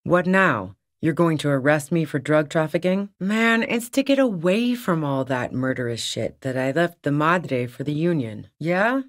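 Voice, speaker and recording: Tough, sardonic game smuggler, dry sound. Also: female voice, deep voice, lively intonation, a little slow, hardly any pauses, no room sound. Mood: defiant, irritated. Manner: dry, sarcastic, casually profane. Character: tough, sardonic female lorry-driving smuggler organizer. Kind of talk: video game character dialogue.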